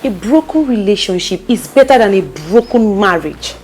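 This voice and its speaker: A woman's voice: mid-range voice, animated, moderate pace, a little mellow, soft background music, natural voice. A woman with a Nigerian accent playing a pushy, caring friend who coaxes and pleads with emphasis. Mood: coaxing. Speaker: consoling friend.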